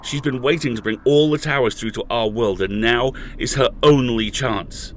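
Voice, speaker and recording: A man's voice: mid-range voice, normal speed, a little hiss or hum, lightly acted. A man playing an imprisoned podcast host in an audio drama, intense and raging, pleading with his colleagues. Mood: desperate. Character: imprisoned male podcast host, furious and desperate.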